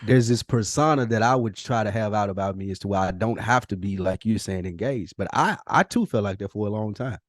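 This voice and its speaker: A man's voice: fairly low pitch, fairly quick, few pauses, no echo, studio quality. Candid, slangy man in casual talk. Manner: slangy, profane, emphatic repetition; reflective and confessional. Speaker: podcast co-host. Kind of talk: casual, candid personal conversation.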